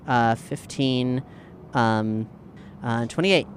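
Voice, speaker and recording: A man chatting casually as a tabletop game player, thinking aloud in a halting way. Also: mid-range voice, a little hiss or hum.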